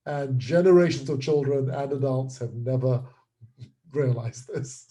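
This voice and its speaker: A man's voice: mid-range voice, measured pace, dark tone. A man talking casually as an interview guest and creator, explaining things with repeated, restarted words.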